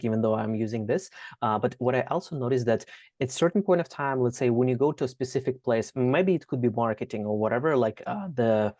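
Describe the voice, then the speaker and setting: A man of middle pitch asks long, meandering questions in a casual tone. His voice sounds close and dry, with no room echo. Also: a little mellow. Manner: loose, rambling questions padded with "like" and "you know". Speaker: interviewer.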